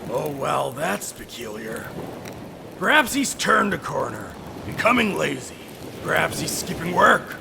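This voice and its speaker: A man's voice: fairly high pitch, sing-song, unhurried, vocal fry, noisy background, full character voice. A man playing a mad ship captain in an audio drama, theatrically jovial with menace underneath, pompous and falsely chummy. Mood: slyly probing.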